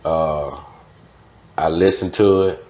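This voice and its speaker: Deep-voiced older Louisiana man, roomy sound. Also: steady tone, a little mellow, highs cut off. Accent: Louisiana Southern US. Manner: unhurried, folksy, with frequent "man" and "you know". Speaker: interview guest, music promoter. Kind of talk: casual reminiscing interview.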